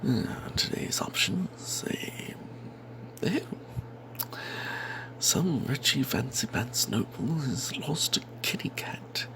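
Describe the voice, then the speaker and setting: A man voicing a roguish, money-minded cleric who tells a fantasy tale in breathy, confiding whispers with snide asides. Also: medium pitch, sing-song, slow, long pauses, poor audio. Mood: snide, calculating. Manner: confiding, hesitant, sardonic asides. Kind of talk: first-person fantasy tale told in whispers, ASMR.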